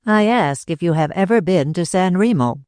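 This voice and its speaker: A woman voicing a calm, curt young Englishman in a read-aloud story. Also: fairly low pitch, normal speed, no echo, studio quality, close to an everyday voice. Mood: cool. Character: cool-headed young Englishman.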